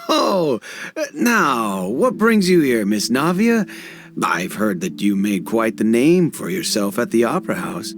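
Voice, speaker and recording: An actor playing an easygoing, wry guard officer in a video game, with a casual, chatty manner. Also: male voice, mid-range voice, very animated, unhurried. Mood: surprised, teasing. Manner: casual, chatty, jokingly self-deprecating. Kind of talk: video game dialogue acting.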